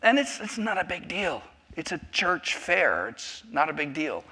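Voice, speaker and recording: A man's voice: on the high side, very animated, measured pace, pushed and bright, husky. A man in his fifties from South Africa, a native Afrikaans speaker with an American accent, giving a personal testimony at a church class in a rambling, self-interrupting, jokey way. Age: 50s.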